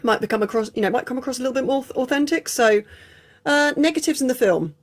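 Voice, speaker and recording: A woman's voice: sits high, fast. An English woman chatting casually through an unscripted film review, frank and prone to digressions. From England. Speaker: film reviewer, channel host.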